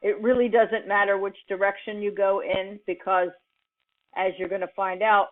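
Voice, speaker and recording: A woman teaching in an informal lecture style, explaining step by step in a halting, deliberate manner with pauses mid-sentence. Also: medium pitch, little pitch movement. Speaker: computing instructor. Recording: muffled, phone-like narrowband audio.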